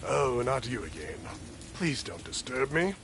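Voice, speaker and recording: A self-important ghost hunter from a video game, voiced by a man. His mid-pitched voice moves up and down a lot in a fussy way. Noisy rain runs behind it. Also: very animated, a little slow. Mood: irritated. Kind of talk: video game character acting.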